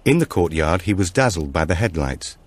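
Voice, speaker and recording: A man narrating a suspense thriller audiobook in a measured, dramatic manner. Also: deep voice, big pitch swings, slightly rough, no echo.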